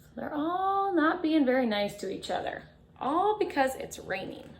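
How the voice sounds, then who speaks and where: A woman's voice: high voice, very noisy. A woman reading a picture book aloud to children like a teacher, expressively, with dramatic emphasis and chatty asides. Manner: expressive, dramatic emphasis, drawn-out refrains, chatty questions to young listeners. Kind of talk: animated children's storytime read-aloud with asides to listeners.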